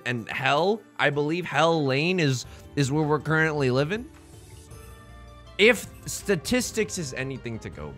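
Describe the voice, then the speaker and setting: A man's voice: on the high side, fairly bright tone. A man from China hosting a let's play, commenting on his own game in a jokey, rambling way with playful internet slang. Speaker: gaming channel host.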